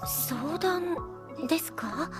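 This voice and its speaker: Shy polite girly boy, high soft-mannered anime game voice, music in the back. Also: expressive, takes it slowly, exaggerated voice. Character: gentle, timid, polite effeminate young boy. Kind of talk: Japanese visual novel anime voice acting.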